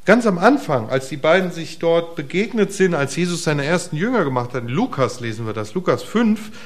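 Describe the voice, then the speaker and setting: A middle-aged man preaching a casual sermon to a congregation in a church, asking them questions and swinging between emphatic bursts and quiet, soft asides. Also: on the high side, big pitch swings, a little fast. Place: church hall before a congregation.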